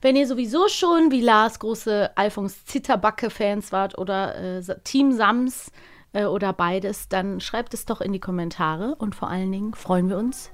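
A woman's voice: medium pitch, normal speed. A woman co-hosting a podcast, cheerful and chatty, running a quiz. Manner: cheerful, laughs easily. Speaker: podcast co-host. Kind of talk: casual chat and quiz hosting.

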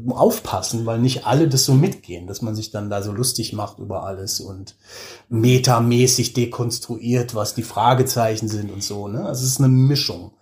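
A man with a mid-pitched voice talks as a guest in a relaxed interview. He tells things in an anecdotal, colloquial way, with a self-deprecating touch. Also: normal speed. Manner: colloquial, self-deprecating, acts out quoted remarks. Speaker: interview guest, audio drama director. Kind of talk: casual interview talk, anecdotal.

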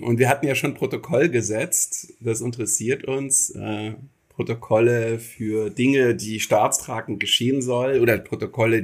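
A man with a husky, slightly rough voice at medium pitch, answering as an interview guest. He explains in a casual, reflective way, feeling for the right words. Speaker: interview guest, theatre maker.

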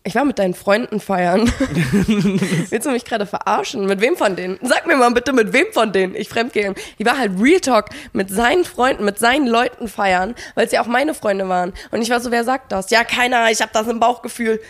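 A young woman co-hosting a podcast, telling a personal story in casual chat and acting out the quoted dialogue. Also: fairly high pitch. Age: young adult. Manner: fast, animated, acts out quoted dialogue, frequent fillers. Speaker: podcast co-host.

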